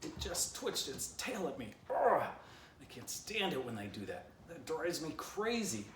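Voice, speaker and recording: A man voicing an excitable male dog in a storybook, ranting melodramatically and indignantly. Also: fairly high pitch, measured pace, voice pushed up. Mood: outraged. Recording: roomy echo, distant mic, faint noise.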